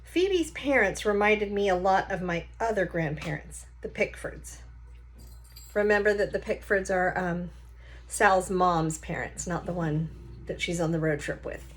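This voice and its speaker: A woman's voice: on the low side, a little slow, a little hiss or hum. A woman reading a children's novel aloud like a teacher, with short explanatory asides.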